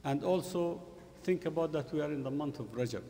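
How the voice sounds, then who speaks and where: A middle-aged man giving an earnest Friday sermon in a mosque, speaking in short, emphatic, halting phrases with repetition. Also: fairly high pitch, mellow. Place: mosque prayer hall, indoors. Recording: long hall reverb from room speakers.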